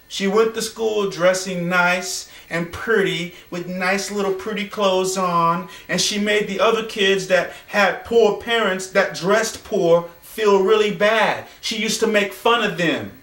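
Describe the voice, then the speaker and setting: A man tells an off-the-cuff story in a distinctly high voice. He phrases things slowly and dramatically, leaving deliberate pauses. The sound is roomy and echoey, picked up some way from the microphone. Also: fairly bright tone. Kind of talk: improvised spoken storytelling. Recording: roomy echo, distant from microphone.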